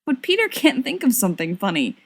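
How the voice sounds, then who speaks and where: A woman reading a story aloud as an expressive narrator. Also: on the high side, brisk, slightly rough. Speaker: volunteer audiobook reader. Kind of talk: expressive scripted fiction narration.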